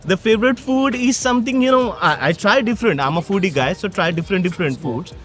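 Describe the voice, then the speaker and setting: A man with a Pakistani accent and a high voice, talking casually in a street interview. He is chatty and repeats words for emphasis, with faint music in the background. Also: lively intonation, fast, a bit bright. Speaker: passer-by being interviewed. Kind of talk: casual chat. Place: outdoors by a city bridge.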